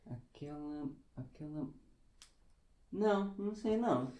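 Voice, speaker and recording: A woman's voice: deep voice, animated, mellow. A woman playing a dim, good-natured, puzzled boy in an audio drama, hesitant and drawling. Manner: hesitant, slow-witted, drawling. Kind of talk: audio drama stage acting. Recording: roomy, echoing sound.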